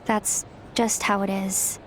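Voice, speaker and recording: An actress playing a blunt, world-weary young female guide in a fantasy game, curt, streetwise and deadpan. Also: mid-range voice, takes it slowly, a little hiss or hum. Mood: jaded, matter-of-fact. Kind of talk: game voice acting.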